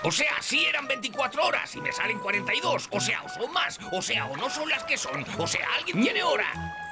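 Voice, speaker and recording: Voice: high voice, very animated, normal speed. A performer voicing a scatterbrained, earnest cartoon bear, muddled and full of verbal tics, with a Castilian Spanish accent. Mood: confused. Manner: repeats a filler tic, muddled. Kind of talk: cartoon voice acting in Spanish dub. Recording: loud music behind the voice.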